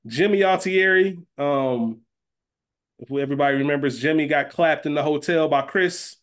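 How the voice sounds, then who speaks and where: A man's voice: on the high side, normal speed. Informal male podcast co-host, slangy. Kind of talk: casual chat, fantasy draft banter.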